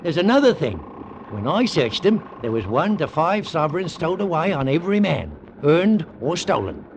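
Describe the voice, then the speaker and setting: An actor playing a hard, callous ship's first mate in an old radio drama, with rough speech and a sardonic manner. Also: male voice, on the high side, sing-song, moderate pace, slight background noise. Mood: menacing.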